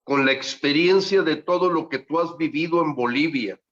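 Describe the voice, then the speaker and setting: A man's voice: a little high, moderate pace, fairly bright tone. A man asking an interview question, rephrasing as he goes in a conversational, hesitant way. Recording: dull lo-fi audio.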